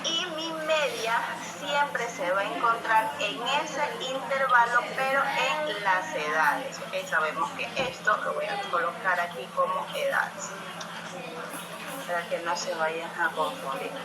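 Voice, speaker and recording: A woman's voice: mid-range voice, a little slow. A woman teaching statistics, explaining step by step and checking often that her students follow. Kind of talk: classroom lesson. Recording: echoey room with loud music behind.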